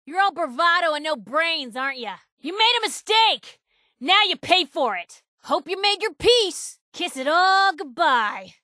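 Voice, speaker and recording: Casting: plucky young newswoman for a game, sardonic and slangy, very high, bright voice, dry close recording. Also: sing-song, measured pace, no room sound, exaggerated voice. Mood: taunting, alert. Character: feisty young woman journalist, wry, plucky wasteland companion. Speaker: video game companion character. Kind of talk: video game voice acting, short combat and banter lines.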